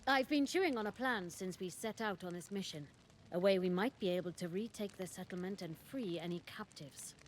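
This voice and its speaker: An actress playing a pragmatic, plucky ranger comrade in a video game, casual, confident and matter-of-fact. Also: female voice, mid-range voice, fairly quick, slight background noise, natural voice. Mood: wry, purposeful. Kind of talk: video game character dialogue.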